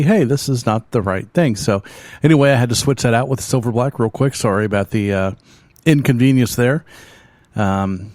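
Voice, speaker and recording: A man's voice: mid-range voice, a little mellow, no room sound. A man with a Southern American accent hosting a metal radio show, chatting loosely with lots of ums and uhs and self-deprecating asides from a small home studio. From Oklahoma, United States. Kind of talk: casual rambling radio chat.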